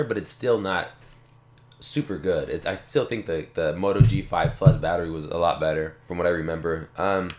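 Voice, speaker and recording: A relaxed man with a slightly low voice rambles through a casual tech unboxing, circling back and repeating himself. He has a slight cough. The sound comes from an echoey room. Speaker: tech channel host. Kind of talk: casual unboxing talk to camera.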